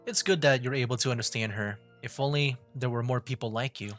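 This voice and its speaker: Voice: medium pitch, brisk, a bit bright, soft background music. A streamer casually commenting on a game, thinking aloud informally and reading dialogue choices out.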